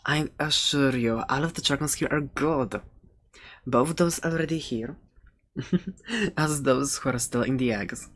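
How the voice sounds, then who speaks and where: An excitable fantasy shopkeeper who sells dragon eggs, acted out by an ASMR performer. The delivery is soft-spoken and unhurried, with a medium pitch. It rambles playfully, with giggly asides and light sarcasm. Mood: excited, playful. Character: chatty, excitable dragon-egg shopkeeper and adventurer.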